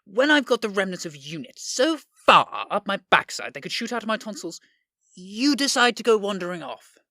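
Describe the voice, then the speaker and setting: A man's voice: high-pitched, sing-song, normal speed, pushed and bright, dry recording, studio quality, exaggerated voice. A man playing an irate, foul-mouthed, blustering prime minister in an audio drama. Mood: furious.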